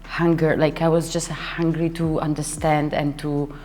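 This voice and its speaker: A young woman, a dancer and choreographer, chatting as an interview guest and often pausing to search for words. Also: sits low, little pitch movement, a little mellow. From Italy. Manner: searching for words, restarts sentences, laughs often. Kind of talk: casual interview talk. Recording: hall reverb with faint music behind.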